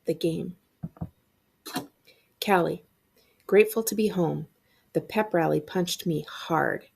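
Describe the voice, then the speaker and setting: A woman librarian reading a middle-grade novel aloud in an expressive, acted-out storytelling style. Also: on the low side, takes it slowly, gravelly, husky.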